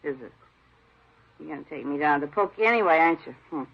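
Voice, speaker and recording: A woman's voice: deep voice, animated, fast talker, vocal fry, slightly rough, heavily acted. An actress in a 1950s radio crime drama playing a defiant, sarcastic woman suspect who talks in slangy, challenging questions, on a dull vintage recording. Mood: sullen, tipsy.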